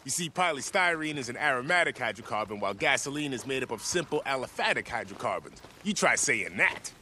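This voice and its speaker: An actor playing a manic, gleeful weapons chemist in a video game, showy and excitable. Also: male voice, mid-range voice, a little fast, slight background noise. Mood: lecturing.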